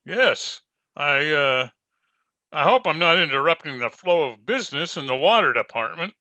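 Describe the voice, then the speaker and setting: An actor as an officious small-town mayor in old-time radio comedy. His voice is highish, bright and lively, formally polite, with a very dry sound. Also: normal speed, no room sound.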